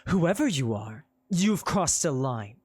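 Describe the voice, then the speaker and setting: A narrator voicing a proud young woman mage who speaks in a calm, cold, measured way. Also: mid-range voice, slightly rough, no room sound, full character voice. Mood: threatening.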